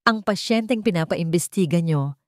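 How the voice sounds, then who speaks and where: A male private investigator speaks in a hurried rush in a storybook audio drama, as a woman narrator voices him. The voice sits low and the sound is dry and close. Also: animated, darkish tone, dry recording, close to an everyday voice. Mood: urgent.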